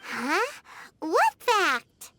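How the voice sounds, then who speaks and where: Tiny floating fairy girl for a game dub: very high squeaky voice, swooping inflection, excitable and inquisitive. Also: drawn-out words, full character voice. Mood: puzzled, curious. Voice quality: squeaky, girlish. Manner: exclamatory, inquisitive. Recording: music behind the voice. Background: game music.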